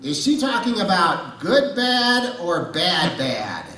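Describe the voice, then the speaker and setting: Casting: excitable, cocky, naive male puppet sidekick, slowish drawn-out delivery, sharp tone, slightly off-mic. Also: high-pitched, exaggerated voice. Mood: baffled. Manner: theatrical, drawn-out emphasis. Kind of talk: scripted puppet comedy dialogue. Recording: echoey room, distant from microphone.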